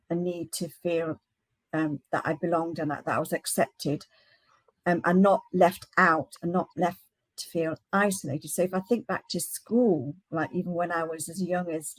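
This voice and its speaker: A woman speaking as a guest in a panel discussion, hesitant and halting, with many restarts. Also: fairly low pitch, no room sound, rough recording. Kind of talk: casual discussion.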